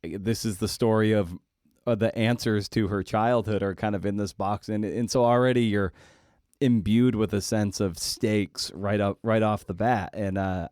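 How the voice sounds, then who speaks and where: A man's voice: on the low side, steady tone, moderate pace, a little mellow, no room sound, clean studio sound. A man hosting a podcast, talking casually and working loosely toward his questions in an interview.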